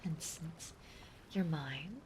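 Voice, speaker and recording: Woman acting a slow, breathy, possessive mermaid. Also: low-pitched. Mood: sweetly possessive. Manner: soft, breathy, cooing, lingering on words. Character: possessive, clingy yandere mermaid, sweetly obsessive young woman. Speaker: voice actress in a roleplay audio. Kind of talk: intimate ASMR roleplay acting. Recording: faint background noise, water ambience. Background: ocean ambience sound effects.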